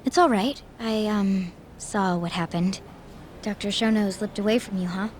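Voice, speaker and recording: Actress as game scientist: mid register, polite, earnest, formal, slight background ambience. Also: female voice, medium pitch, a little hiss or hum, natural voice. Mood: reserved. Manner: measured, formal, courteous. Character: polite, earnest, reserved adult female researcher. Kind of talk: English video game dub acting.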